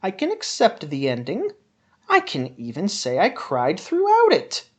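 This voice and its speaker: A woman's voice: medium pitch, wildly swooping pitch, unhurried. A woman giving a melodramatic, emphatic dramatic reading of a written review, stretching out words for effect. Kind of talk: theatrical dramatic reading of a monologue.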